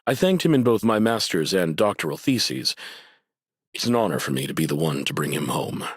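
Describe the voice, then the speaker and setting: Deep-voiced, courteous academic official. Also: male voice, very animated, husky, dry recording, clean studio sound, exaggerated voice. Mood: calm, sincere. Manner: formal, measured, polite. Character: courteous, earnest male academic district administrator. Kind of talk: scripted anime game dialogue.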